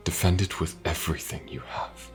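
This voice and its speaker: A man acts out a grave, ancient mage bound by duty, in a fantasy audio drama. His voice is extremely deep and often sinks to a breathy half-whisper. He speaks slowly and tenderly, full of sorrow, over a bed of music. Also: fairly even intonation, natural voice. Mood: grave, urgent. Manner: solemn, measured, tender. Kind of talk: intimate fantasy audio roleplay acting. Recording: music behind the voice.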